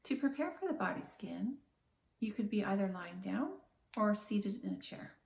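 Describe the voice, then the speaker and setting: A woman guiding a body scan meditation in a calm, gentle, measured manner with slow, soothing pauses. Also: medium pitch, gravelly, roomy sound, a little hiss or hum. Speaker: meditation guide, therapist.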